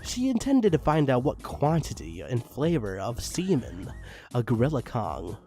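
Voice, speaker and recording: A man's voice: medium pitch, measured pace. A man reading fan fiction aloud in a mock-dramatic style, breaking off for amused asides. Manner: animated, breaks off to comment. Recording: music under the voice. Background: background music.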